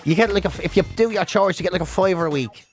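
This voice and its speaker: A man's voice: sits high, fast, faint music behind. A middle-aged Irish man who hosts radio, chatting casually and comically in a rambling, irreverent, mock-indignant way. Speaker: radio show host and podcast co-host.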